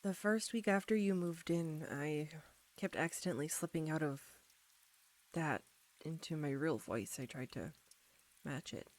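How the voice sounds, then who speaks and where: Woman doing a shy flustered roommate girl for audio rp, soft lowish voice, really slow n halting, nervous. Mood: sheepish confessing. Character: embarrassed, anxious young woman confessing a secret to her roommate. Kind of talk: intimate audio roleplay acting, addressed to the listener.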